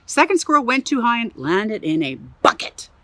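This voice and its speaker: Lively woman reading a children's story. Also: on the high side, normal speed, no echo. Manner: animated, with chatty asides. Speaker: museum storyteller. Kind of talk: reading a picture book aloud to children.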